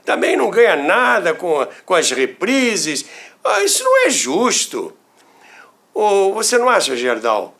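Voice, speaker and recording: A man's voice: high-pitched, huge pitch swings, a little slow, gravelly, fairly bright tone, pitched above the performer's own voice, full character voice. A man voicing an aggrieved veteran radio actor in an anecdote, with a Brazilian accent and an indignant, insistent, exclamatory manner. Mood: indignant, coaxing. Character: aggrieved male veteran radio actor, pleading his case.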